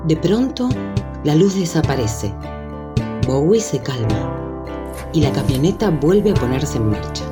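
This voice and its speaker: A woman narrating a scripted radio drama with measured storytelling delivery. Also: deep voice, sing-song, over music.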